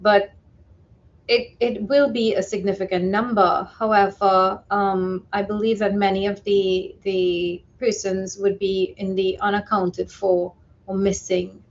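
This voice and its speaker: A woman's voice: mid-range voice, level tone. Hesitant woman expert on lo-fi audio. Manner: measured, hesitant, careful explanations. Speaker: expert interview guest. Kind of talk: live news interview commentary.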